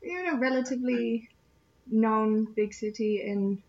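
A woman from the Netherlands answering interview questions casually, with pauses and fillers. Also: on the high side, darkish tone. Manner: hesitant, with fillers and small laughs. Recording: slightly muffled recording.

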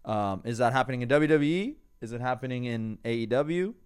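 A man's voice: mid-range voice, animated, fairly quick, darkish tone, smooth. A man hosting a podcast, chatting loosely and rambling with frequent laughs. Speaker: podcast host. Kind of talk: casual podcast chat.

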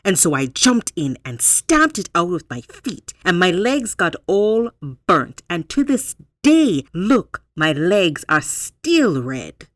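Woman as a boastful, drawn-out guinea fowl. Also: on the low side, big pitch swings, fairly bright tone, no echo, exaggerated voice. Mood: proud boasting. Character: boastful guinea fowl claiming great age.

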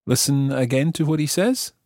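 A man hosting a language lesson, explaining example phrases piece by piece with careful, measured articulation. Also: medium pitch, a little mellow, no room sound, clean studio sound. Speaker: language teacher and host.

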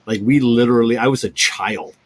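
A man's voice: mid-range voice, brisk, husky. A man in his forties hosting a casual talk show, joking and often doubling back on his words. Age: 40s. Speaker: cigar talk show host. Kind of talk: casual chat.

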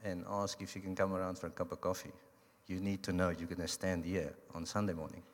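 A man's voice: deep voice, a little fast, background noise. A man whose first language is Afrikaans, with a South African accent, giving a joking church talk. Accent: South African English. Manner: joking, relaxed. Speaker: guest speaker at church. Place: church hall. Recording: long hall reverb.

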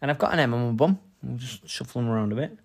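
A young man from Manchester speaks in a Mancunian accent. He co-hosts a relaxed couple's podcast, teasing, joking and swearing freely as he talks. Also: medium pitch, racing, creaky, husky. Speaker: podcast co-host. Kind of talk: casual chat.

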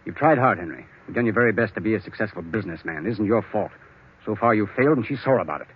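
Casting: scheming ex-lawyer, low husky voice, pushy and blunt, vintage radio crime. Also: male voice, animated, quick pace. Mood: scornful. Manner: blunt, needling. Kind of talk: old-time radio acting. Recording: muffled old radio recording.

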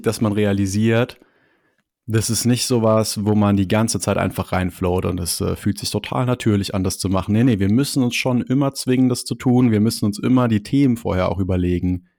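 A man's voice: fairly low pitch, fairly even intonation, normal speed, a little mellow, no room sound, studio quality. A man co-hosting a podcast, speaking thoughtfully and hedging as he weighs his points. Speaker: podcast co-host. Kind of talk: casual podcast chat.

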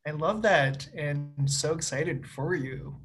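A man's voice: a little high, takes it slowly, a bit bright. A young man from Winnipeg co-hosting a casual conversation, upbeat and encouraging. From Winnipeg, Canada. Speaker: co-host. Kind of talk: casual conversation over video call.

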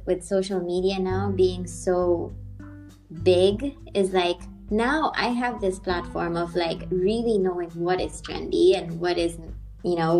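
A woman's voice: fairly low pitch, a little mellow, low-quality recording. A woman in her thirties from the Philippines, hosting a podcast in casual conversation and often searching for words. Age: 30s. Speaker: podcast host.

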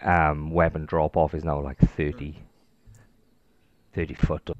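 Welsh man, very low voice, rambling casual chat, dry muffled recording. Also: flat delivery, a little slow, a little mellow. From Wales. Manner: rambling, many fillers. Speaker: podcast co-host.

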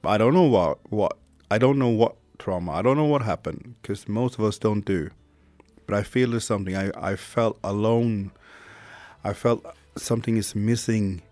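A man's voice: on the low side, normal speed, darkish tone, no room sound. A man in his thirties with a Swedish accent, hosting a candid, reflective monologue podcast and swearing casually. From Sweden. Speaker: podcast host.

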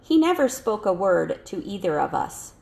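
A woman reading a children's novel aloud as scripted narration, in a measured storytelling manner. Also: mid-range voice, sing-song, creaky, darkish tone. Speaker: audiobook reader.

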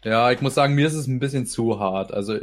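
A man's voice: mid-range voice, fast talker. A man in casual podcast chat, often stammering and repeating words mid-sentence. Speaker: podcast host, illustrator.

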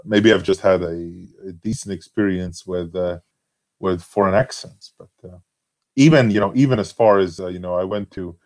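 A man's voice: sits low, moderate pace, a little mellow. Hesitant man with a Latvian accent. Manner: hesitant, many fillers and restarts. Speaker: forum member being interviewed. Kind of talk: casual conversation.

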